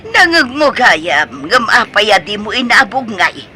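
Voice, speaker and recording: A fussy older Ilocano mother in a radio comedy drama. The voice is extremely high and piercingly sharp, full of doting and scolding swoops, with an Ilocano accent. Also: sing-song, unhurried, a little hiss or hum, heavily acted.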